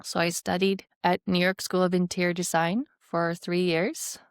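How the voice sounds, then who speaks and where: A woman's voice: fairly low pitch, flat delivery, normal speed, clean tone, no echo, clean studio sound. A woman in her thirties with a Swedish accent, a podcast guest reflecting and rambling with fillers. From Sweden. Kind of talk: casual interview chat.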